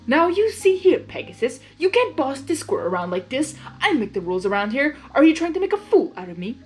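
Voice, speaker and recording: A woman's voice: high voice, sing-song, fairly quick, soft background music, voice pushed up. A woman voicing a smug, blustering male creature of chaos in a theatrical, commanding way for a story reading. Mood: outraged. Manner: pompous, commanding, exclaiming.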